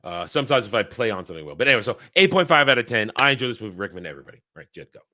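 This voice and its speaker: Man, movie review co-host, rambling with restarts, bright forceful voice, dry close mic. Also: lowish voice, very animated, rapid-fire, no room sound. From Northeastern United States. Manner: rambling, many restarts and fillers. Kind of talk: casual spoken movie review.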